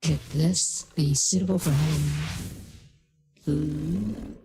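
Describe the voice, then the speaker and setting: A man's voice: a little high, fairly even intonation, slow pace, creaky, dark tone, breathy edge, faint music behind, voice pushed up, exaggerated voice. A man trying a voice changer preset that turns him into a sorceress, talking offhandedly. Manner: testing, offhand. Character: sorceress, low and gravelly.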